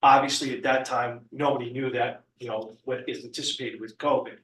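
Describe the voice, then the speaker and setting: A man, hoarse from a cold, speaking as a union representative and laying out a measured formal account at a board meeting. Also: mid-range voice, fairly even intonation, fairly bright tone. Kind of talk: formal public address. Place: large meeting room. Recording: echoey room, voice from far off.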